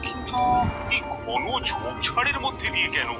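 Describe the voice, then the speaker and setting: Cartoon king: very high, animated, fussy and easily flustered, exclamatory delivery with loud music underneath. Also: normal speed. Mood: nervous. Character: excitable, fussy king fond of his jester. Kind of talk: cartoon voice acting.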